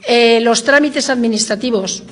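A woman's voice: fairly high pitch, moderate pace. A middle-aged woman from Madrid with a Castilian accent, chatting casually in an interview, emphatic and often repeating herself. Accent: Castilian Spanish. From Madrid, Spain. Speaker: interviewee, village resident. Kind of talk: casual live interview chat.

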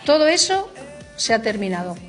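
A woman's voice: on the high side, sing-song, moderate pace, soft background music. A middle-aged woman from Madrid with a Castilian accent, chatting casually in an interview, emphatic and often repeating herself. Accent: Castilian Spanish. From Madrid, Spain. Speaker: interviewee, village resident. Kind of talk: casual live interview chat.

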